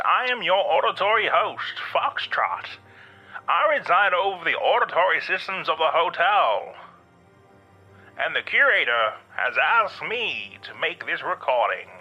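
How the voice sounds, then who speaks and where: A man performs a theatrical hotel radio host for an audio drama. The voice is very sharp and husky, swooping up and down in slow, showy announcer phrases over music. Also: fairly high pitch, dull audio, full character voice. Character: radio host spirit of an otherworldly hotel, smooth showman announcer.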